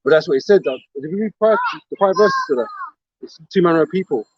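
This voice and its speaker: A man reading verses aloud at an outdoor street sermon, halting and restarting, chiming in with short agreements. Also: a little high, wildly swooping pitch, slightly rough, no echo, dull audio. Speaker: scripture reader.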